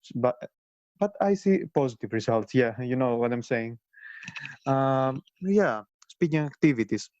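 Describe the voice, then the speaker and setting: A man's voice: mid-range voice, animated, a little slow, a little mellow, dry recording. A man explains his question in an online Q&A. He is hesitant, dropping uhs between phrases. The call audio is dull and lo-fi, with a dry, close sound. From Greece. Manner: hesitant with frequent "uh". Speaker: English teacher of refugees. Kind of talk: casual answer in Q&A.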